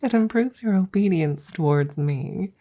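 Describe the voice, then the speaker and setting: A woman playing a wry, affectionate witch soothing her familiar in an intimate ASMR audio roleplay, soft and gently teasing, often near a whisper. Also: fairly low pitch, expressive, a little slow. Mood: amused, coaxing.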